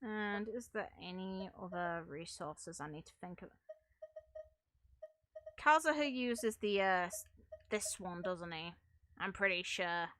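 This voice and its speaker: A woman's voice: fairly low pitch, a bit bright, dry recording, clean studio sound. A woman doing casual, rambling gaming commentary in a frank, casually sweary way, like a streamer talking to viewers. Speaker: game streamer.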